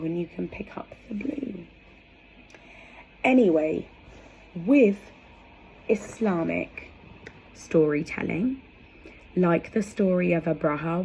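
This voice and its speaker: A woman's voice: on the low side, vocal fry, a little mellow, poor audio. A woman presenting in an informal instructional vlog, explaining conversationally with asides as she demonstrates, with faint background noise. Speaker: educator presenting storytelling props.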